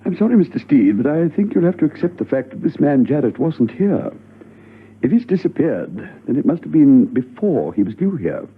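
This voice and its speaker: A man's voice: a little high, sing-song, moderate pace, husky. An actor playing an officious, evasive government chairman in a radio drama, formal and insistent with a flustered edge. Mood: firm, dismissive. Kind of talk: spy radio drama acting. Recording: thin, phone-like old radio recording.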